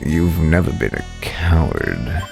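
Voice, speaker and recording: A man's voice: a bass voice, very animated, takes it slowly, creaky, over music, exaggerated voice. A man voicing a smug, menacing rival prosecutor in a video game, with a drawling, taunting manner.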